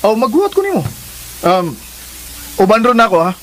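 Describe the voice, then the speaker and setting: An actor playing a persistent, generous young suitor with a Cebuano accent in a radio drama, talking in a casual, coaxing way. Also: male voice, high-pitched, very animated, moderate pace. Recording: hissy recording, faint crackle.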